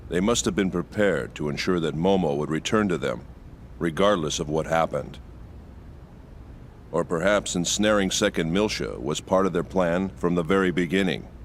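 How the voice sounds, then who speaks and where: An actor playing a grave, analytical man in a sci-fi video game, speaking in a measured, brooding manner. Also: sits low, slight background noise. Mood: grave, suspicious.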